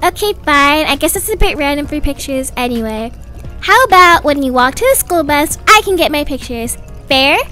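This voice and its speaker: A woman voicing a doting, chatty young mother of twins in an animated roleplay story. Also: high-pitched, moderate pace, soft background music. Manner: gushing, chatty, fussing.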